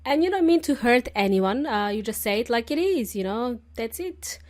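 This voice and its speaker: Hoarse, chatty woman podcast host. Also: a little high, lively intonation, moderate pace, darkish tone. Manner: chatty, laughs often. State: hoarse from a cold. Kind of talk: English-learning podcast monologue.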